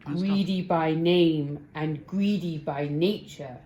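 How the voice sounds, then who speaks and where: A woman voicing a huge, stern male giant in a children's storybook, bellowing slowly and menacingly. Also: warm tone, roomy sound, slight background noise. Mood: gloating, stern. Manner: booming, slow, menacing.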